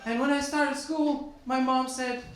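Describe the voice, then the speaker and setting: A man in his forties from Turkey with a Turkish accent, doing stand-up comedy and chatting with the crowd in a lively, teasing way. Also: very high voice, measured pace. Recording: very echoey, noisy room.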